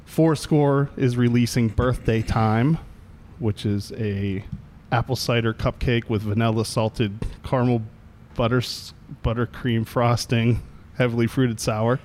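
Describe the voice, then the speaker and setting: A man's voice: mid-range voice, a little mellow, slight background noise. A man hosting a podcast, chatting with friends in a casual, joking way and teasing them drily. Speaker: podcast host. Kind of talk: casual banter among friends. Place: room with several people around mics.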